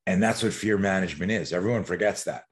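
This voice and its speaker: A man in his sixties, from Canada, with a cavernously deep voice. He tells his stories as a podcast guest in a loose, animated way, often looping back and starting his sentences over. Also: fast. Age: 60s. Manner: animated, rambling storyteller with many restarts. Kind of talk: casual podcast interview.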